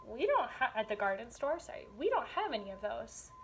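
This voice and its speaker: Garden-store man for a picture book, read by a woman: curt, patronising, high register, slightly noisy recording. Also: sing-song, brisk, fairly bright tone. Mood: surprised, apologetic.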